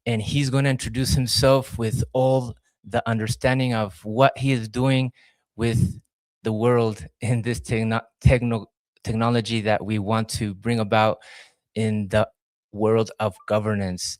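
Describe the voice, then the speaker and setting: A man's voice: medium pitch, no echo. Man hosting a podcast, dry close sound. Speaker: podcast host. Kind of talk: enthusiastic host introduction.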